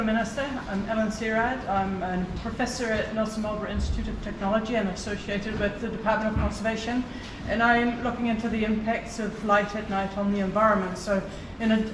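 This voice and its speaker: A woman professor makes an earnest case from the floor of a conference, with many hesitant ums and uhs. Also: medium pitch. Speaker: professor and researcher. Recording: distant echoey hall with background noise.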